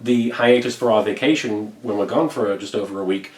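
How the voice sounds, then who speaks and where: A man's voice: on the low side, a little fast, a little mellow. A man speaking earnestly and conversationally, giving a casual update to supporters as a web video creator. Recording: echoey room.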